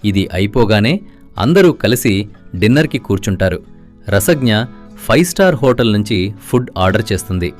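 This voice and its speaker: A man with a low voice narrates a storybook tale in a scripted style. Soft guitar music plays faintly behind him. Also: sing-song, moderate pace.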